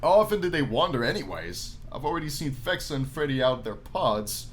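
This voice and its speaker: A man's voice: mid-range voice, sing-song, a little fast, a little hiss or hum, close to an everyday voice. A man voicing a young male night security guard, a casual, unsure newcomer with questions, in a visual novel game. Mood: uneasy, questioning.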